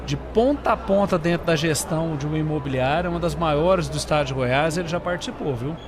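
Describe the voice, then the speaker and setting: A man with a Brazilian accent co-hosts a conversational interview. His voice is a little higher than most men's. Behind him, trade fair noise is clearly audible. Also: fairly quick. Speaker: podcast co-host. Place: busy trade fair stand.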